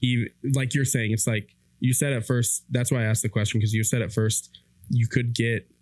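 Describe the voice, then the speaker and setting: A man's voice: medium pitch, little pitch movement, moderate pace, a little mellow, dry recording. A man hosting a conversational podcast interview on faith, reflective and probing, repeating phrases as he thinks. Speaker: podcast host.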